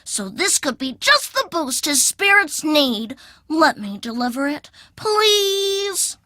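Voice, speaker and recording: High, bright, chirpy little girl. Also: very animated, slow, no room sound, clean studio sound, exaggerated voice. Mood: pleading. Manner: sugary, gushing, pleading. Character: chirpy, eager-to-please ten-year-old farm girl. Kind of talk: video game character dialogue.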